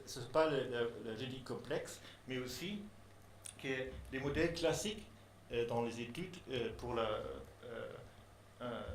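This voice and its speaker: Hesitant non-native French-speaking man, roomy echo. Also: medium pitch. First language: not French. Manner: halting, many pauses and restarts. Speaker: researcher presenting a project. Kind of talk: hesitant formal presentation in a second language. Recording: echoey room, faint background noise.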